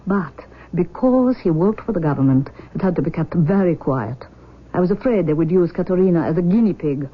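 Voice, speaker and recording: An imperious Russian mother of forty, speaks in a lowish voice with fervent, deliberate emphasis. It is dramatic 1970s radio acting on a slightly muffled old broadcast. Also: expressive, slightly rough. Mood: haughty, insistent. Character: intense, imperious forty-year-old Russian mother, obsessed with her cloned daughter.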